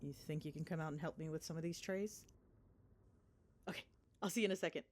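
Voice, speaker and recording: A woman playing an affectionate, teasing, excitable young girlfriend chatting on a call in an ASMR roleplay. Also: low voice, quick pace, lightly acted. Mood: warm, cheerful. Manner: playful, chatty, expressive, mildly sweary.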